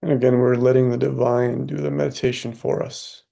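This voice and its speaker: A man leading a guided meditation as a teacher, unhurried and leaving long pauses. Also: mid-range voice, little pitch movement, creaky, mellow.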